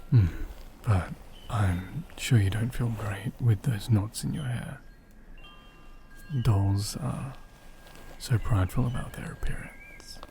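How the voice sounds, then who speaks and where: A man playing a gentle, attentive doll repairer in an ASMR roleplay, speaking softly and often in a half-whisper, unhurried and soothing. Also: on the low side, vocal fry, noisy background. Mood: tender, soothing. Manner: unhurried, hushed, murmuring with thoughtful hums.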